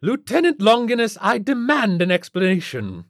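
A haughty society matron, played by a male narrator, high and loud in pitch and delivery. She is imperious, formal and demanding, a comic storybook character recorded dry. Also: very animated, normal speed, no echo. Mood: indignant.

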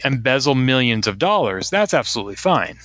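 A man interviews a guest in a relaxed talk, his questions measured and sympathetic. His voice has a bright, forward edge at a middle pitch. The sound is dry and close. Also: no room sound. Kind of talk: casual conversational interview.

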